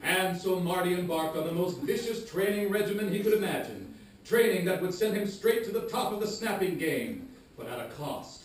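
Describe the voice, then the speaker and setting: A man's voice: high voice, animated, moderate pace, slight background noise. A man playing a pompous, mock-dramatic narrator of a live comedy radio serial, grandiose and easily put out. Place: live performance venue. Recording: echoey live stage, distant, loud music.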